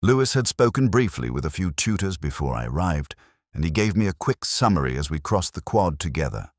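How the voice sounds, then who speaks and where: A man playing a brooding, observant police inspector, narrating his case in a measured, reflective, literary way. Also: sits low, sing-song, no room sound, studio quality. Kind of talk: scripted first-person detective story narration.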